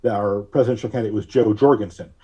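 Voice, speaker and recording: Husky man, measured and wry. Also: lowish voice, fairly even intonation, mellow. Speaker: podcast guest. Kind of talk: conversational political commentary.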